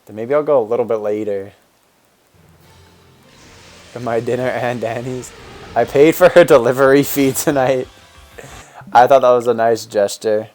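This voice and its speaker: A man in his twenties with a deep voice, a game streamer talking casually with viewers while answering chat and rambling with fillers and restarts. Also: moderate pace, long pauses, a little mellow, soft background music. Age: 20s.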